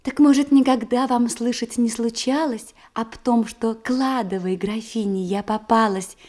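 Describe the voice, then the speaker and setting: An actress playing a demure, thrifty young ward, eager to please, declaiming earnestly in a verse radio comedy. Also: female voice, on the high side, steady tone, a little mellow, lightly acted. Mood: confiding. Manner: mannered, earnest, measured declamation.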